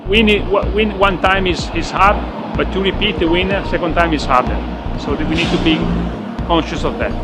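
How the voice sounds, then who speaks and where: A man, a professional cyclist in an interview, speaking hesitant English with many fillers and non-native phrasing. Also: on the high side.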